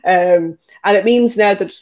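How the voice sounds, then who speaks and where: A host asking questions in a casual interview, pausing often with "uh" and "you know". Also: mid-range voice, a little mellow. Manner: hesitant, many "uh" and "you know" fillers.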